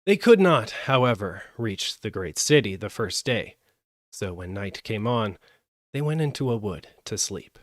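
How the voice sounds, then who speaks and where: A man narrating a fairytale as a live audiobook, with an expressive, measured delivery. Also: on the low side, dry recording, studio quality. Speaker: storyteller.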